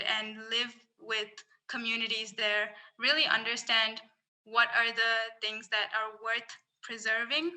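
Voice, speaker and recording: Young woman from singapore, really bright voice, kinda flat melody, earnest and thoughtful. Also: a little high, rough recording. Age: young adult. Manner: earnest, thoughtful, many restarts. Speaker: guest, climate researcher. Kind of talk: casual podcast interview.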